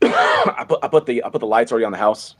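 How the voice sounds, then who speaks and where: A man's voice: medium pitch, huge pitch swings, fast talker, breathy edge. A man co-hosting a live football podcast, a coach who rambles, repeats himself and uses lots of fillers. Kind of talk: casual live podcast chat.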